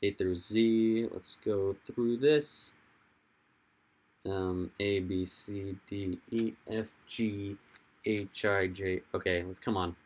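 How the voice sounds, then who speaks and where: A young man with a hoarse, fading voice, hosting a casual livestream, rambling and answering viewers' chat comments as they come in. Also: lowish voice, fairly even intonation, takes it slowly. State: hoarse, losing his voice. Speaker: livestream host.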